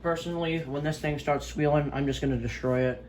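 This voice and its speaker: A man's voice: fairly high pitch, steady tone, brisk, a little mellow, a little hiss or hum, rough recording. A man giving a casual, unscripted product review in a hesitant, rambling way, on an amateur home recording. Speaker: gadget reviewer.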